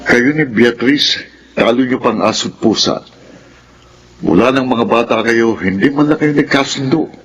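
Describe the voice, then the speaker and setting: A man's voice: medium pitch, measured pace, muffled recording, soft background music, close to an everyday voice. An actor playing a weary, caring middle-aged father in a radio drama, fatherly and admonishing. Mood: reproachful.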